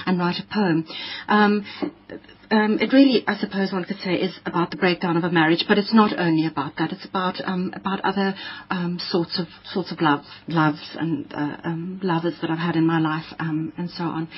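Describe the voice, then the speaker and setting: Lady poet, breathy voice, lots of ums, muffled old radio feel. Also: medium pitch. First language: Afrikaans. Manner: thoughtful, many ums and restarts. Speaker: poet, interview guest. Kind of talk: reflective interview answers.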